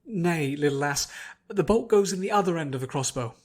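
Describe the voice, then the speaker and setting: A man's voice: a little high, expressive, brisk. Man doing a blunt, folksy dwarf instructor. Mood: patient correcting.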